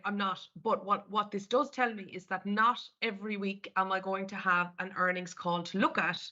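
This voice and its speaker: Bright-voiced Irish woman presenting a webinar. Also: mid-range voice. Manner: conversational, walks through screen step by step. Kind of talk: live market analysis presentation. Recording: dull lo-fi webinar audio.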